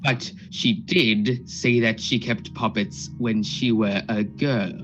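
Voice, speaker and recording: A man's voice: lowish voice, steady tone, slow, lo-fi sound, soft background music. A man acting a deferential court officer in a radio play, careful and matter-of-fact. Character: deferential male court officer giving testimony.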